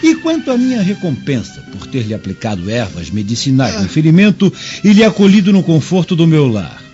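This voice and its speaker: A man's voice: a little high, wildly swooping pitch, fairly quick, lo-fi sound, over music. An actor playing a greedy, sly old man in a radio drama, with a mocking, wheedling and theatrical manner. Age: older.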